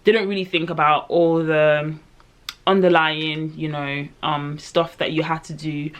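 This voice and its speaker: A woman in her late twenties talking to her camera while doing her makeup, chatty and reflective, rambling with lots of "um" and "like", with sudden excited jumps in pitch. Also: measured pace. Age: late 20s. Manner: chatty, rambling, heavy use of fillers like "um" and "like". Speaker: vlogger. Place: at home in front of her own camera.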